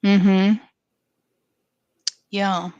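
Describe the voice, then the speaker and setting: Woman, podcast co-host, smooth clear tone, flat delivery, lots of ums and uhs. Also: mid-range voice, dry recording, dull audio. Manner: many fillers, halting, earnest. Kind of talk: casual podcast discussion.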